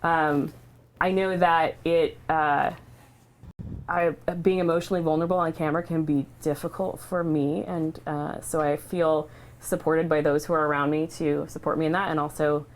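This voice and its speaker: Woman, livestream host. Slightly low pitch, candid and heartfelt, feels her way through sentences haltingly. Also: steady tone, slight background noise. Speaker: charity livestream host sharing her cancer treatment story. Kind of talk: casual, heartfelt unscripted talk.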